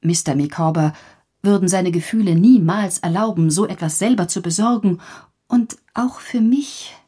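An actress playing a genteel, melodramatic, impoverished wife, proud and fragile, with a plaintive manner in a German radio drama. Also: female voice, on the low side, normal speed, dark tone, breathy edge. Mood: pained, discreet.

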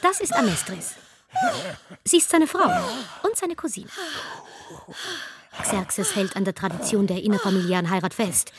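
An actress as a wry, matter-of-fact narrator in a comic audio drama, deadpan and dryly ironic. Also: female voice, mid-range voice, normal speed, pauses often, very noisy, natural voice.

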